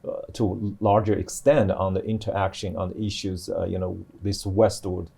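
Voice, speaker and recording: A middle-aged man explaining things on a panel as a professor, in a halting way with many restarts. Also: deep voice, flat delivery, creaky, mellow, husky. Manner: halting, hesitant delivery with repeated restarts. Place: TV studio.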